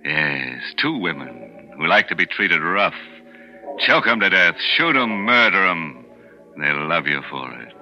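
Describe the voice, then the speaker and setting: A man's voice: low voice, very animated, measured pace, bright, forceful tone, exaggerated voice. An actor playing a mocking male horror host who jokes darkly and chuckles, in 1940s radio acting. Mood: sly. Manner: chuckling, teasing, darkly joking. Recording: muffled 1940s radio broadcast with music.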